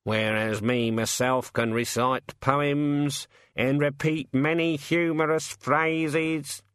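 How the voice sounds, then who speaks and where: A man's voice: fairly high pitch, fairly even intonation, slow pace, fairly bright tone, smooth, no echo, clean studio sound, pitched above the performer's own voice. A man voicing a cynical, chatty male raven who grumbles and rambles on. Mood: grumbling.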